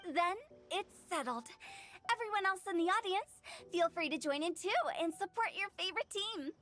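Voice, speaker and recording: Mischievous young director for a game: very high pitched, theatrical, declamatory, teasing. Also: female voice, animated, moderate pace, sharp tone, heavily acted. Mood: upbeat. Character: playful, theatrical teenage girl director, mischievous show-off. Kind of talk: animated video game voice acting.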